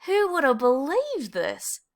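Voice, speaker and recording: Woman voicing an eager, high-voiced little boy mouse. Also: slow pace, full character voice. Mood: amazed. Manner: emphatic, expressive storybook dialogue. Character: eager, friendly young male field mouse.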